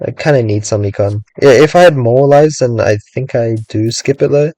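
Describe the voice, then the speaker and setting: Young man, casual gaming commentary, rambling, raspy, mellow tone, dry close sound. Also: mid-range voice, a little fast, no echo. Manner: rambling, thinks aloud, many "like"s and hedges. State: raspy from long talking. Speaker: gaming streamer.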